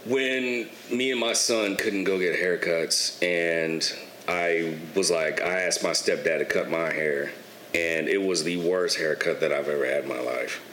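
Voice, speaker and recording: A man in his twenties, a barber and clothing entrepreneur, talking candidly as a podcast guest with a relaxed, storytelling manner and casual swearing. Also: deep voice, unhurried. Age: 20s. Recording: echoey room with faint noise.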